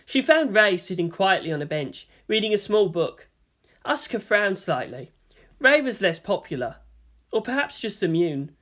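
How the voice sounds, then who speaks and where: A male narrator reads a story aloud in an expressive audiobook style. His pitch is very lively, rising and falling widely through the prose. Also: moderate pace.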